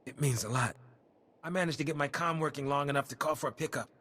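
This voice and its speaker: A man voicing a weary wounded soldier in a video game, earnest and halting as he reports. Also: a little high, a bit bright. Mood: grateful, subdued. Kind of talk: video game cutscene acting.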